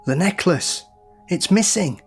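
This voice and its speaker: An actor playing a defensive, grieving brother in an audio drama, blunt and irritable in manner. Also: male voice, sits high, expressive, slow pace, faint music behind, exaggerated voice. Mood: defensive, agitated. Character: defensive, grieving man, the smaller of two brothers.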